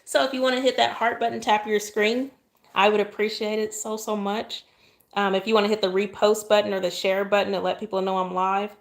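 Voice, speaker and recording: A woman from Oklahoma with a Southern accent and a soft, calm voice, chatting casually on a livestream while she decorates a cake and keeps thanking viewers. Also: mid-range voice, a little fast, vocal fry. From Oklahoma, USA. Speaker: cake decorator live-streaming. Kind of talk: casual livestream chat while piping icing. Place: indoor kitchen counter.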